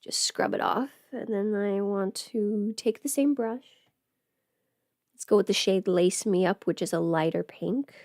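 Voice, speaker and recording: A woman's voice: mid-range voice, gravelly, darkish tone, no echo, studio quality. A woman livestreaming in casual, rambling chat as she does her makeup, informal and mildly sweary. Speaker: livestream host.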